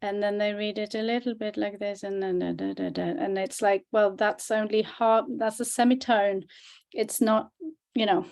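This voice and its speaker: A woman's voice: medium pitch, fairly even intonation, normal speed, gravelly, a little mellow. A woman co-presenting a language question-and-answer session as a teacher, speaking in a chatty, informal, demonstrative way.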